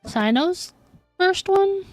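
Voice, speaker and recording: A woman's voice: very high voice, lively intonation, takes it slowly, no room sound, soft background music. A woman livestreaming a game, chatting casually and informally with her viewers. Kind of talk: casual chat while streaming gameplay.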